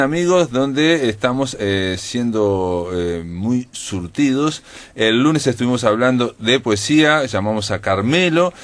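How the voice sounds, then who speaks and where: A male radio host talks through a relaxed interview on air. His voice sits on the low side, and he rambles, filling gaps and correcting himself as he goes. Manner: rambling, many fillers and self-corrections.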